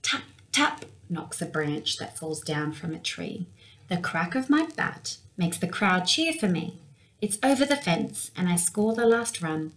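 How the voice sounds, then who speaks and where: A young woman reading a picture book aloud for children in an expressive storytelling manner. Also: on the low side, a little slow, a little hiss or hum, low-quality recording. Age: young adult. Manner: expressive, with acted-out sound words. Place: small indoor room with window blinds.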